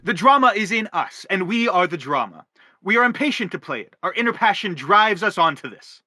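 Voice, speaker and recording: A man's voice: sits high, sing-song, brisk, pushed and bright, dry recording, exaggerated voice. An actor playing an earnest, courteous father character in a radio stage play, speaking formally and with pleading eloquence. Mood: eager, insistent.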